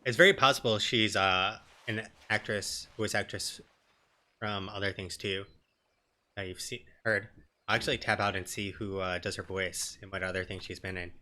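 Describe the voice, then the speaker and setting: A man's voice: fairly low pitch, steady tone, moderate pace, bright, forceful tone. A man giving casual, informal commentary as he streams a video game. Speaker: let's play streamer.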